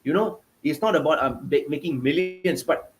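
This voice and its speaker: Malaysian guy on a video call, a bit high-pitched, passionate and emphatic, repeats himself a lot. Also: normal speed, faint music behind. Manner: passionate, emphatic, repetitive phrasing. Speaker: guest author. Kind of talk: interview answers.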